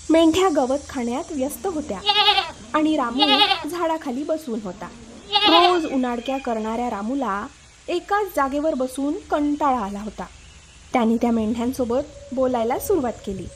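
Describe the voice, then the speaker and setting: A woman hosting and narrating a scripted children's story. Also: high voice. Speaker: storyteller, channel host.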